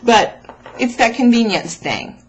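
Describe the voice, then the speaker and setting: A woman's voice: fairly high pitch, big pitch swings, measured pace, slight background noise, poor audio. A woman talking casually and motivationally to her followers as a vlog host, addressing the listener directly with encouraging emphasis. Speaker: host of a raw food vlog.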